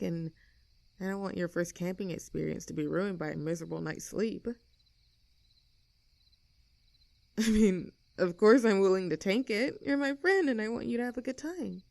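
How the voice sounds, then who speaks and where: An easygoing young tomboy , acted by a woman, speaks at a middling pitch with a gravelly fry at the edges. The sound is close and dry, as in an intimate audio roleplay. She is casual and teasing with her friend, with a tender, caring streak. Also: a little mellow, no room sound, natural voice. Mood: insistent, friendly. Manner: casual, teasing, tender, halting when flustered.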